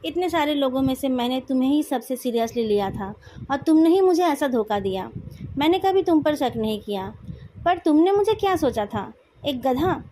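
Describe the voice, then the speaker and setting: An Indian-accented woman voicing a pampered young rich man in a novel, sharp, accusing and bitter at betrayal. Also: high-pitched, animated, moderate pace, a little hiss or hum. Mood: hurt, angry.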